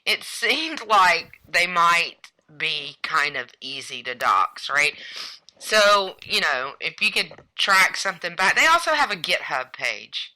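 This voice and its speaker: A woman's voice: fairly low pitch, very animated, slow, pushed and bright, low-quality recording. A woman co-hosting a casual podcast conversation, talking things through with wry asides. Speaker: podcast co-host. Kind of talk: casual chat about cybersecurity.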